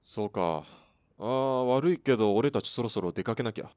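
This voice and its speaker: A man's voice: mid-range voice, lively intonation, normal speed, a little mellow, no room sound, lightly acted. A man playing a laconic, brooding sharpshooter in a Japanese radio drama, with a laid-back, terse and evasive manner. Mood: resigned. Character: laconic, brooding male sharpshooter, nonchalant smoker.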